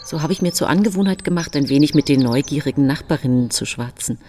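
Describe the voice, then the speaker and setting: A woman's voice: low voice, animated, fast talker, dark tone, faint music behind. An actress playing an outwardly pious, secretly cruel young bourgeois wife in a German radio play, telling her story with genteel self-satisfaction. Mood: self-satisfied.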